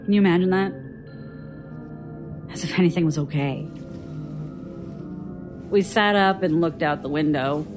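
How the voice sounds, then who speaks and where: An actress playing a weary, guarded survivor giving dry, reluctant testimony in an audio drama. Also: female voice, fairly low pitch, a little slow, pauses often, music in the background, lightly acted. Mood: uneasy, reflective. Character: guarded, weary woman survivor recounting childhood strangeness.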